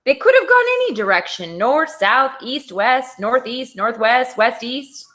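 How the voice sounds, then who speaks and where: A woman reader puts on a helpful talking fork from a picture book. The manner is thoughtful and rambling, with pitch that rises and dips freely. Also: big pitch swings, unhurried, fairly bright tone.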